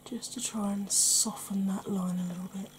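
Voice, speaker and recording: A middle-aged woman with a Welsh accent giving an ASMR makeup tutorial at home, talking softly and often in a whisper, chatty with a dry sarcastic streak. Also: mid-range voice, fairly even intonation, normal speed, a little hiss or hum. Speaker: beauty YouTuber. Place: indoors at home, face in close-up.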